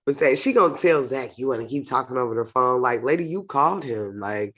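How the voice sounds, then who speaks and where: A woman with a stuffy nose from a cold, reviewing a TV episode in casual chat, expressive and opinionated. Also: very deep voice, brisk. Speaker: host of a TV show review channel.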